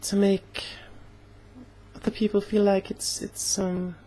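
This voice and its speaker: A young woman talking casually in a personal vlog, slightly hoarse, with an earnest, halting way of speaking. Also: medium pitch, flat delivery, mellow. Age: young adult. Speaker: vlogger.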